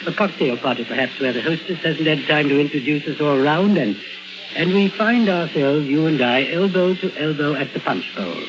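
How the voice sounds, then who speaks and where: An actor playing an urbane, whimsically courteous psychologist with hesitant phrasing in a 1950s radio drama. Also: male voice, on the high side, animated, moderate pace, music in the background, lightly acted. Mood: genial, whimsical. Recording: dull vintage 1950s radio recording.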